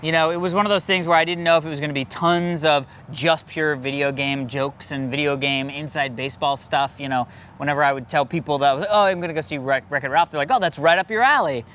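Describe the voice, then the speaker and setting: Enthusiastic, higher-voiced American man. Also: fast talker, a bit bright, a little hiss or hum. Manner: enthusiastic, emphatic, rambling. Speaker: film review show co-host. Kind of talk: casual unscripted movie review chat.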